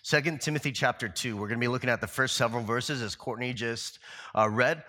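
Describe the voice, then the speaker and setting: Pastor guy with a scratchy voice, bright and forceful, chatty sermon w lots of ums. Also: mid-range voice, quick pace. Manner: casual, loose delivery with many fillers and restarts. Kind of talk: conversational sermon.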